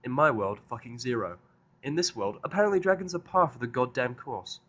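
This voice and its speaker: A man's voice: mid-range voice, animated, fairly quick, a bit bright, pitched above the performer's own voice. A man playing a sarcastic, irritable human with a dry, stubborn manner and plenty of swearing, in a fan fiction audio drama. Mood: mocking, exasperated.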